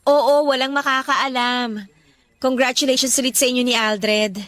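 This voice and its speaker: A woman's voice: high-pitched, fairly even intonation, moderate pace, a bit bright, no room sound. A Filipino woman playing a busy young office worker in a Tagalog radio romance drama, casual, apologetic and guarded. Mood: subdued, stunned.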